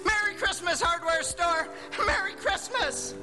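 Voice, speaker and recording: A man's voice: extremely high pitch, a little slow. A man acting in a live radio drama as an emotional family man, stammering and repeating himself breathlessly. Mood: giddy elation. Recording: live stage radio play, music behind.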